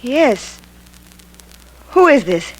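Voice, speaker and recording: A fairly high female voice plays a brassy stage star in vintage radio drama. She is assertive and quick with a wry crack. Faint noise runs through the old recording. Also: big pitch swings, full character voice, low-quality recording. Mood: uneasy. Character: self-possessed, wisecracking female stage star. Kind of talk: 1940s radio acting.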